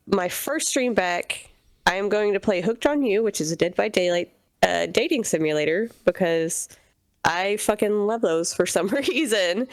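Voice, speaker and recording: A woman guest on a casual podcast, relaxed and sniffly from a cold. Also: medium pitch, no echo, clean studio sound.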